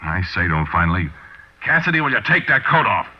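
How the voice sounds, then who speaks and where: Hard-boiled american petty crook, 1940s radio narrator, really low, confiding, dull recording. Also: male voice, big pitch swings. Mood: brooding, uneasy. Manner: colloquial, confiding, deliberate. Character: hard-boiled, slangy small-time crook telling his story. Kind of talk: first-person narration, 1940s radio drama.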